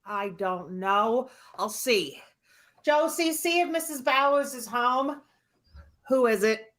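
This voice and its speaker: A woman's voice: a little high, expressive, slow pace, fairly bright tone. A woman playing a baffled neighbour answering the telephone in an old-time radio drama.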